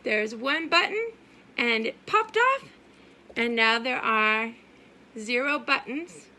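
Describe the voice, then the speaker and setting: A woman preschool teacher reading a story aloud for young children in a sing-song, exaggerated way, asking questions and pausing. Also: on the high side, lively intonation, bright, forceful tone. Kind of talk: animated children's story time read-aloud.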